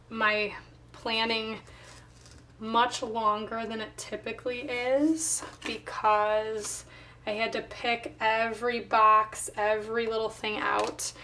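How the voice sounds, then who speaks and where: Woman, fairly high voice, slightly hoarse, casual craft vlog narration, thinking aloud, a bit distant from the mic. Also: bright, forceful tone, slight background noise. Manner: unhurried thinking aloud while working. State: slightly hoarse, voice not recovered. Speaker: planner vlogger.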